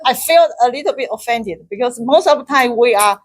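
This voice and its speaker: Halting Taiwanese woman telling a story. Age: middle-aged. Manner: halting, with many restarts and repeated words; laughs often, gets high and loud when excited. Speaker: club speaker. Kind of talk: personal story in a speaking club.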